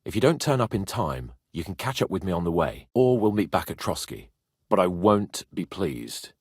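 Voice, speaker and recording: A man's voice: deep voice, normal speed, creaky, slightly rough, no room sound, studio quality, full character voice. An actor playing a haughty, spirited young nobleman in a medieval video game, lordly and bossy in manner but loyal. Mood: haughty, impatient. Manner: lordly, bossy, expressive, swearing freely.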